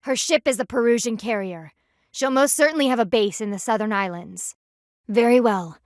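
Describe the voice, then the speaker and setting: A proud, stern panda guardian from a video game, played by a woman. Her voice sits fairly high and is recorded dry and close. She speaks formally and haughtily, clipping her words like a military commander. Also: moderate pace, no echo, lightly acted. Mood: stern, businesslike.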